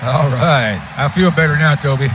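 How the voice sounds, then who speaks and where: A man's voice: fairly high pitch, moderate pace. A man giving informal color commentary on a football radio broadcast, in short folksy interjections. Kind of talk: informal live sports commentary. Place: stadium radio booth. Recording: slightly noisy, dull radio broadcast.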